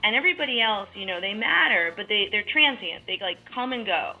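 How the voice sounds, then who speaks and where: A woman's voice: medium pitch, lively intonation, fairly quick. Female musician guest, loose rambling talker, mid-range voice, dull recording. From New York. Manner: rambling, many fillers and restarts. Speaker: musician in a duo, piano. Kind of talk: casual interview chat. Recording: slightly dull lo-fi sound with faint music.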